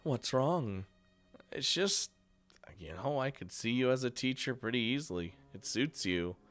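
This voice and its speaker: Man reading a curious easygoing teen boy, clear smooth voice, quiet music under it. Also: mid-range voice, expressive, a little slow. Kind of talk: reading game dialogue aloud.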